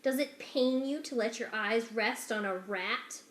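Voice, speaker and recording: A woman's voice: on the high side, measured pace, a bit bright. A woman voicing a menacing male villain rat in a storybook, falsely polite, formal, condescending and commanding. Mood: sinister politeness. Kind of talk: dramatic children's storybook reading. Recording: echoey room.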